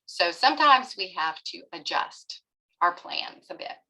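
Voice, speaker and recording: A woman's voice: fairly low pitch, very animated, measured pace, gravelly, sharp tone, low-quality recording. A middle-aged woman therapist giving a webinar lecture to parents, explaining step by step and often checking in with "right?". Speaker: pediatric therapist presenting a webinar.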